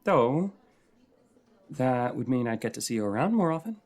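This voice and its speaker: A man playing a shy, sweet writer in an intimate audio roleplay, chatting in a hesitant, rambling, softly flirty way. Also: mid-range voice, very animated, moderate pace, close to an everyday voice. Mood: playfully flirty. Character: shy, rambly, sweet regular writing in a coffee shop.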